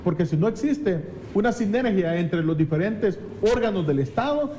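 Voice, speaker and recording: A man's voice: high voice, animated, normal speed, dark tone. A Salvadoran man speaking as a legislator in a political interview, explaining earnestly and often repeating phrases as he goes. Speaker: legislator, talk show guest. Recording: faint background noise.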